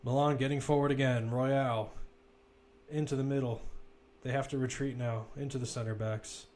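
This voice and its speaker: A man's voice: mid-range voice, fairly even intonation, moderate pace, a little mellow. Man calling football over background noise. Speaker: play-by-play football commentator. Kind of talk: casual live audio match commentary.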